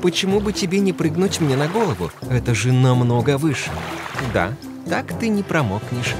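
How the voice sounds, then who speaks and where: A sly, coaxing fox from a children's cartoon fairy tale, acted by a man. The voice is a little high for a male and swings widely in pitch as it reassures. Music plays clearly underneath. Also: big pitch swings, moderate pace.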